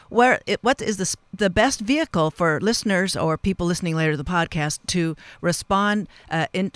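A man's voice: a little high, a bit bright, clear, resonant tone, no echo. A man hosting a radio panel, putting long, hesitant questions to his guests. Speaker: radio host. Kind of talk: moderating a panel interview.

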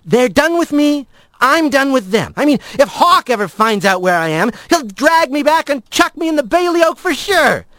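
Man acting a bitter, high-strung young rat. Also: animated, normal speed, few pauses, clean tone, no echo, clean studio sound. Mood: bitter, angry. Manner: sardonic, defensive, terse. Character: bitter, stubborn young male rat outcast. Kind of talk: animal fantasy audiobook character.

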